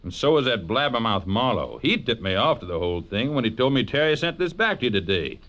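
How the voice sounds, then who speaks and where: Cocky male killer-blackmailer: threatening, sneering, animated pitch, dull old radio sound. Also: brisk. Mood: gloating, menacing. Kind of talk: 1940s radio crime drama acting. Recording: dull vintage radio recording.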